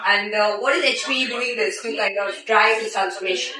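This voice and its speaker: A woman's voice: medium pitch, a little fast. A woman interviewer asking questions in a conversational corporate interview style. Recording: echoey, distant mic, busy crowd chatter.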